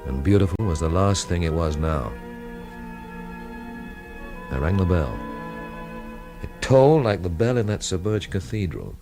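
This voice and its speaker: An actor playing a cynical English investigator who narrates his own story in a deadpan, sardonic radio drama style. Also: low-pitched, a little slow, long pauses, over music, full character voice. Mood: brooding, wry.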